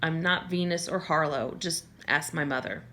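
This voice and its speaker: A woman's voice: low-pitched, normal speed, sharp tone. A woman reading a first-person novel aloud in a conversational way, now and then stumbling and correcting herself. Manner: conversational, with small slips and self-corrections. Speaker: audiobook reader.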